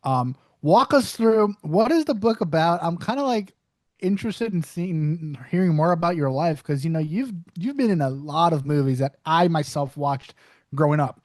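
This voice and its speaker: A male podcast host asks long, meandering questions packed with fillers. His voice sits a bit high, and the sound is dry and close. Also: expressive, moderate pace, a little mellow, no echo. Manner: rambling, filler-heavy questions. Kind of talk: casual podcast chat.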